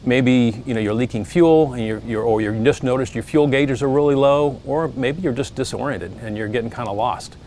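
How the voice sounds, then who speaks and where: A man's voice: mid-range voice, normal speed, hardly any pauses, darkish tone. A middle-aged man, an air traffic controller, giving plain practical advice to pilots with frequent fillers. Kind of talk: explaining procedures to pilots.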